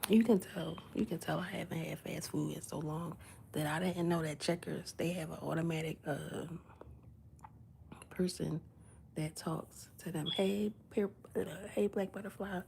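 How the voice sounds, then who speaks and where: A young woman live-streaming indoors in someone else's house, chatting casually with her viewers in a hushed, low voice that often drops to a whisper, and acting out the voices in her stories. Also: moderate pace, creaky, a little hiss or hum. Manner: animated storytelling, acting out dialogue.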